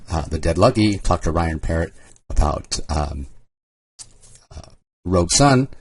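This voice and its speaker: A man hosting a podcast, speaking casually in a solo introduction with a husky voice. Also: sits low, darkish tone, no room sound. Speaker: podcast host.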